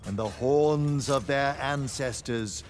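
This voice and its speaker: Man acting a slow, grandiose epic stage orator. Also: medium pitch, slight background noise, close to an everyday voice. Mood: solemn, grandiose. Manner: solemn, declamatory, theatrical. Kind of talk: theatrical recitation.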